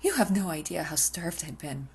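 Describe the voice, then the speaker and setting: A woman's voice: deep voice, animated, normal speed, darkish tone, slightly rough, heavily acted. A woman playing an obsessive, possessive female vampire in an intimate ASMR roleplay, often dropping into whispers, hungry and coolly domineering. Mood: breathless craving.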